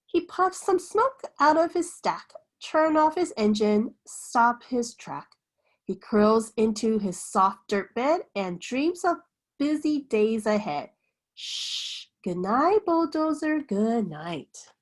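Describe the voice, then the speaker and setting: A woman reads a picture book aloud in a fairly high voice that swoops widely in pitch. She takes her time over each phrase, with the sing-song expressiveness of a teacher telling a bedtime story to young children. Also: sing-song. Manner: slow, sing-song delivery with hushed bedtime shushes.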